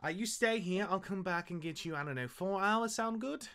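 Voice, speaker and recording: A man's voice: high voice, lively intonation, normal speed, a crowd in the background. A man playing a swooping, cocky big-brother god. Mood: casual, hurried. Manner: casual, rambling, teasing. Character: cocky, easygoing older-brother god, teasing reluctant mentor. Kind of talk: fantasy audio roleplay acting.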